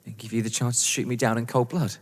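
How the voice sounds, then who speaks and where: An actor playing a nervous, pleading young lover in an audio drama, incredulous and afraid. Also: male voice, medium pitch, flat delivery, quick pace, natural voice. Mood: desperate pleading. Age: young adult. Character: frightened, pleading young lover of novelist's wife. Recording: reverberant hall-like room.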